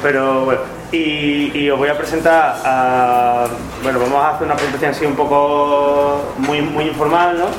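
A man's voice: on the high side, little pitch movement, normal speed, few pauses. A man presenting a book informally to an audience, hesitant, often repeating words and adding tag questions. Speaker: book co-author presenting the event. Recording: echoey room, background noise.

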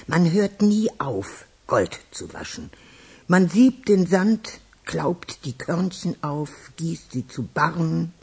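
Husky, slow-spoken elderly music teacher. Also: female voice, low voice, very animated, a little mellow, heavily acted. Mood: proud, wistful. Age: 60s or older. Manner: teasing, drawn-out, theatrical delivery. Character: chatty, proud, retired female music teacher. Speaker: actor in a radio crime drama. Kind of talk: theatrical radio drama dialogue.

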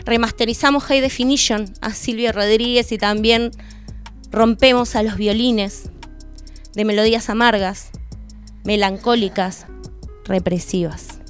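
A woman with an Argentine accent delivering a scripted spoken-word monologue as a radio presenter. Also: a little high. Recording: music bed under the voice. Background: music.

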